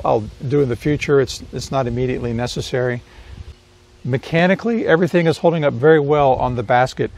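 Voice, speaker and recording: Middle-aged man explaining gear outdoors. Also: medium pitch. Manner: relaxed and explanatory, with frequent fillers and restarts. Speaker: product reviewer. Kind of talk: casual product review to camera. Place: outdoors beside a car in a yard.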